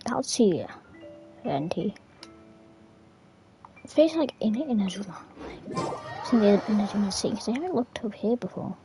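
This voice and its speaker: A woman's voice: medium pitch, expressive, normal speed, long pauses. A woman chatting casually as she plays a video game, rambling and muttering asides that slip into whispers. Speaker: gamer narrating her own gameplay. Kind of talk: casual gameplay chat. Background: video game music.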